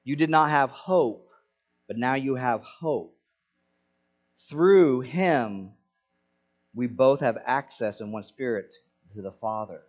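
A man's voice: medium pitch, slow, pauses often, highs cut off. A man preaching a sermon in an earnest way, asking rhetorical questions to the congregation. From Senegal. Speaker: pastor.

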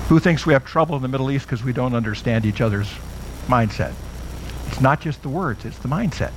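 A man's voice: mid-range voice, fairly bright tone, slight background noise, rough recording. A middle-aged man teaching a Bible class in a conversational way, often putting questions to his listeners. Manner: conversational, asks the audience questions.

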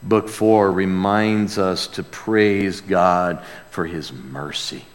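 A man's voice: low voice, fairly even intonation, slow. A man preaching a conversational sermon as a pastor, earnest and exclamatory, often repeating phrases for emphasis. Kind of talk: sermon, conversational Bible teaching with call and response. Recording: long hall reverb of a large room.

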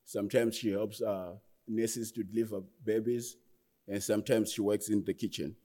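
An earnest young man with a Zimbabwean accent tells his life story from the front of a large hall. His voice sits low and mellow, and he often stops to restart a phrase. The room adds a long, trailing reverb. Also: fairly even intonation, moderate pace. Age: 20s. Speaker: guest speaker. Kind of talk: personal testimony talk.

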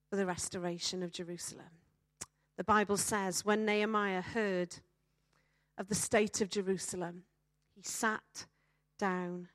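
A woman with a Welsh accent preaching a sermon to a congregation, speaking emphatically with rhetorical questions and dramatic pauses. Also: mid-range voice, no echo, studio quality.

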